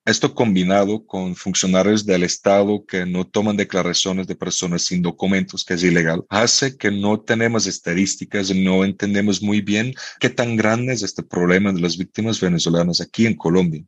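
Low-voiced Dutch man with many hesitations. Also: fairly even intonation, dry recording. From Netherlands. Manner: measured, frequent hesitations and restarts. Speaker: guest researcher and journalist. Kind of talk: podcast interview answers.

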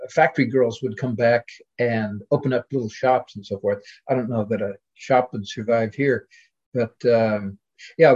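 An older man, a literary scholar, speaking as a guest in a reflective academic conversation, measured and pausing as he considers his points. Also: mid-range voice, darkish tone, slightly rough, highs cut off.

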